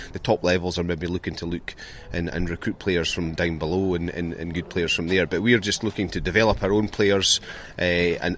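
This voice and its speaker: Male coach, low pitch, measured and hesitant, faint outdoor noise. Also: fairly bright tone. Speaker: rugby club coach being interviewed. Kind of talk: pre-match sports interview.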